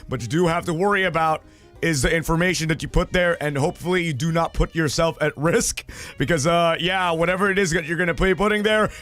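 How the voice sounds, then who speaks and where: Hoarse, excitable male streamer. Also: high-pitched. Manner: excitable, loud greetings, swears freely. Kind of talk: casual livestream chat.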